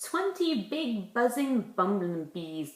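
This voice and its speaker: Animated, slow-paced woman teaching little kids. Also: medium pitch, background noise. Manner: asks simple questions, emphasizes and explains words for small children. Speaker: preschool teacher. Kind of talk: children's circle-time lesson and picture-book read-aloud. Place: indoors in a room at home. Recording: roomy, echoey home recording.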